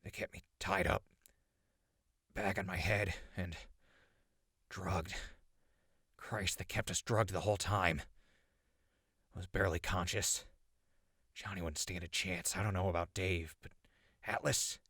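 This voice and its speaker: An actor playing a rattled captive survivor in a post-apocalyptic audio drama, muttering halting notes into his recorder in a gravelly, husky voice. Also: deep voice, steady tone, a bit bright, no echo, studio quality, exaggerated voice. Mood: weary, focused. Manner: halting, muttering to himself, exclaiming.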